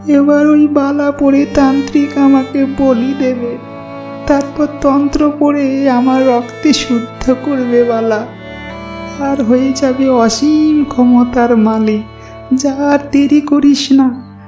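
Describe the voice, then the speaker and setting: A woman's voice: sits high, warm tone. A woman voicing a weary, motherly witch with a plaintive manner in a cartoon ghost story. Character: weary, betrayed, motherly female witch, kind at heart. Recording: roomy echo, faint music behind.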